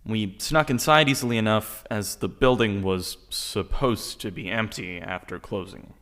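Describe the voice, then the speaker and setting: A man playing an impulsive teenage boy in a gang, recounting his story in a halting, dramatic way. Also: lowish voice, fairly bright tone, close to an everyday voice. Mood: tense. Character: impulsive show-off teenage boy gang member, uneasily confessing. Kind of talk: scripted dramatic first-person narration.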